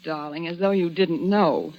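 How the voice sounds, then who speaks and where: A woman's voice: on the low side, moderate pace, natural voice. Sarcastic young society woman played by an actress, arch and disapproving, vintage radio drama, muffled band-limited sound. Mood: scornful. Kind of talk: old-time radio mystery acting.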